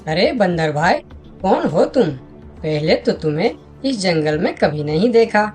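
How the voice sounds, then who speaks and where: A woman's voice: fairly low pitch, animated, measured pace, darkish tone, soft background music. A woman voicing a small, polite talking forest animal in a children's cartoon. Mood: friendly, curious. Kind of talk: Hindi children's cartoon dialogue.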